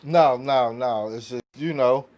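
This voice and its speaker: Halting man in casual discussion. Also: medium pitch, a little mellow, highs cut off. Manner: halting, repeats "you know". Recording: faint background noise.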